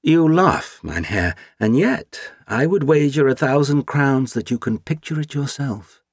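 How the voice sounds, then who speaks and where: A man's voice: mid-range voice, expressive, measured pace, dry recording, studio quality, voice pushed up. A man voicing an eloquent, gallant Russian count who speaks in florid, theatrical oratory. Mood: grandiloquent.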